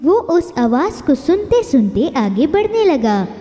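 High, mellow woman storyteller, reverberant. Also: sing-song, unhurried, soft background music. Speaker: storyteller, narrator. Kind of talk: scripted children's fairy tale narration.

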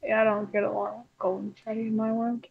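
A woman's voice: medium pitch, little pitch movement, quick pace, gravelly, lightly acted. Woman playing a dry, weary puppet, dull mic. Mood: weary sarcasm. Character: weary, dry-witted dead female puppet. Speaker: role-play gamer. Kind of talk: improvised role-play during video game.

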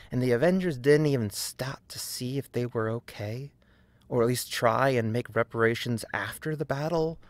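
A man delivers a scripted essay as narrator. His voice sits at an average pitch and he argues his case deliberately, often turning to rhetorical questions. The sound is close and dry. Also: dry recording. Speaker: video essayist.

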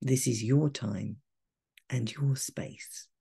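A woman guiding a meditation in a soft, breathy, soothing voice, gentle and measured with long pauses. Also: very deep voice. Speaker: meditation guide. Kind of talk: guided meditation, calm and soothing.

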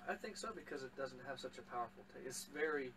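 A man's voice: mid-range voice, fast, far from the mic, background noise. A young man tasting teas as a guest in a tea shop, joking playfully, heard through a camera across the table. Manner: playful, teasing. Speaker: guest taster. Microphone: far camera across table.

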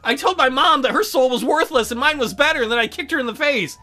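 A woman's voice: sits high, fast, pushed and bright, faint music behind. A woman doing joking game commentary as a let's play host, with an irreverent, crude, teasing manner.

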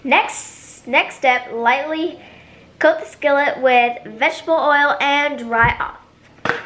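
A woman's voice: high voice, slow, fairly bright tone, rough recording. A young teenage girl with an American accent, chatting informally in a casual home cooking vlog. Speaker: vlogger. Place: home kitchen, indoors. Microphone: camera at arm's length.

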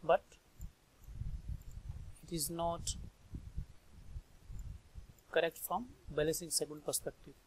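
Indian accent guy doing a screen tutorial, bit higher pitched, talks in short bits, some noise and clicks behind. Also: steady tone, takes it slowly, long pauses, low-quality recording. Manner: informal, thinking aloud in short phrases. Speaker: software trainer. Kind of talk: step-by-step screen tutorial narration. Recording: background noise with mouse clicks.

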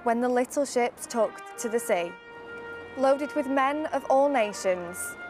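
A woman's voice: fairly high pitch, lively intonation, slow. A teenage young woman giving a solemn recitation of a war poem. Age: teens. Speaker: student reading a poem. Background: music.

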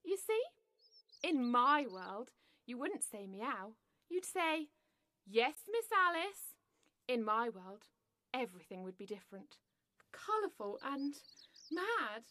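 A woman's voice: fairly high pitch, big pitch swings, takes it slowly, pauses often, bright, forceful tone, slight background noise, heavily acted. A woman playing a curious, polite young girl in an audio drama, wondering and exclaiming as she talks. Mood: dreamy.

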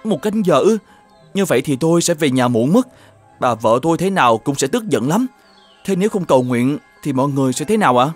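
Kind simple farmer in a cartoon, slightly high voice, earnest, music playing behind. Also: male voice, lively intonation, brisk. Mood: concerned, kindly. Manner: earnest, polite, slightly naive. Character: big humble, good-natured male peasant farmer. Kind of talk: animated fairy tale dialogue.

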